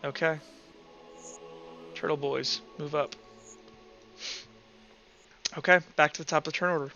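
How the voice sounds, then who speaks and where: A man with a fairly high, very bright voice runs a tabletop game in loose, improvised narration. The recording is a little dull and lo-fi, with faint music behind him. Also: steady tone, unhurried. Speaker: game master.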